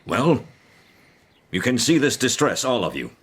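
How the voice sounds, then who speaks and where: A man's voice: mid-range voice, big pitch swings, measured pace, husky. An actor playing a stern, indignant middle-aged upper-class father, commanding and formal in a period detective radio drama. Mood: stern, pressing.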